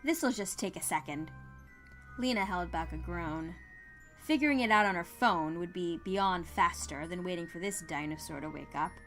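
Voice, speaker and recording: A woman's voice: lowish voice, moderate pace, a bit bright. A woman narrating a scripted story as an audiobook-style storyteller. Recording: music under the voice.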